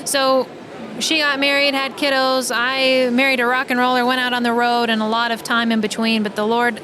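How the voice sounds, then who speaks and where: Female, higher register, casual chatty interviewee, recorded on location with clear ambient noise. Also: level tone, moderate pace. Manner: casual, chatty, peppers talk with "like". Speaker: podcast guest, writer and audio drama creator. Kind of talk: conversational interview storytelling. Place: live at a convention. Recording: noisy location with vehicle-like ambience.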